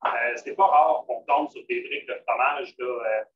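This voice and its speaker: A man's voice: mid-range voice, huge pitch swings, moderate pace. A man with a Québécois French accent leading a live vegan cooking demonstration in a casual, filler-heavy way. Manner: casual, many fillers and restarts. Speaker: cook at a vegan restaurant. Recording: roomy, distant, dull, faint noise.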